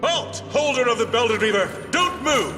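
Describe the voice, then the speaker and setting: A woman playing a small, alarmed soldier in a fantasy game, excitable and calling out. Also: on the high side, brisk, natural voice. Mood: urgent shouting. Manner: excitable, shouting. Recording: echoing hall, music behind.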